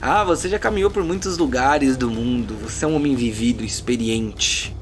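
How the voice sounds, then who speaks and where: A man's voice: medium pitch, normal speed, slight background noise. A Brazilian man working as game master, narrating a fantasy tabletop role-playing game dramatically, with informal asides. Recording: music bed behind the voice.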